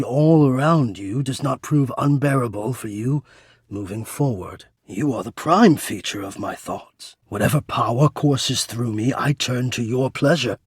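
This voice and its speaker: An actor playing a shy, devoted, lovestruck male air-vent spirit in a romance video game, with breathy, formal, florid and earnest delivery. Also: medium pitch, a little mellow, no room sound, studio quality.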